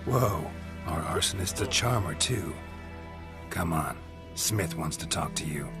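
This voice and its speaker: A gruff video game monster hunter for hire. His voice is extremely deep and full of gravel. He speaks in terse, deadpan phrases, dry and unflappable, with music behind him. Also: measured pace. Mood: dry, sardonic. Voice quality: low gravelly voice.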